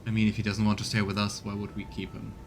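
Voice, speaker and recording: A man's voice: fairly low pitch, completely flat delivery, fast talker, vocal fry, background noise. A man giving casual let's play commentary as a game streamer. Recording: music behind the voice. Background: game music.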